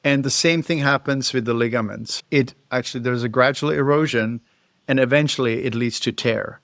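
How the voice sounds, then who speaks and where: A man's voice: mid-range voice, normal speed, vocal fry, a bit bright, no room sound. A middle-aged male veterinarian with a Czech accent, explaining in a thoughtful, informal way to camera. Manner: thoughtful, explanatory, occasional chuckles. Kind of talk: casual educational talk to camera.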